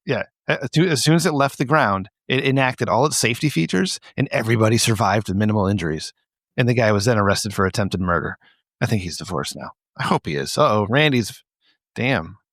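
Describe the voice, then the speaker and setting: A man's voice: fairly low pitch, lively intonation, a little fast, a bit bright, dry recording, clean studio sound. A man co-hosting a podcast, telling stories in casual comic banter and repeating phrases for emphasis, with some swearing. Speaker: podcast co-host.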